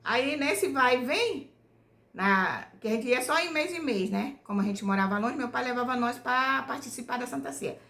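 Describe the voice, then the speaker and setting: A woman from Bahia, telling her family story to her channel in a loose, homespun way. She keeps checking in with "né?" as she goes. Her voice sits a little high, and the room around her echoes. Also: expressive, normal speed, creaky, a bit bright. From Bahia, Brazil. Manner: homespun, rambling, tags nearly every phrase with "né?". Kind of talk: casual storytelling to viewers.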